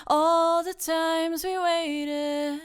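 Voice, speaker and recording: Woman singing high over acoustic guitar. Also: little pitch movement, very slow. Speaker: singer-songwriter. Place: recording studio. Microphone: studio microphone.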